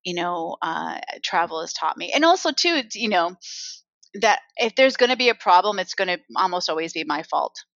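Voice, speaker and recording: An American woman with a lowish voice answers interview questions at an easy, reflective pace, dropping in frequent fillers. Her audio is dull and dry, like a remote call with a dry, muffled sound. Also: expressive, fairly bright tone, no room sound. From United States. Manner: reflective, unhurried, many "you know" fillers. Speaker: interview guest, author and women's empowerment coach.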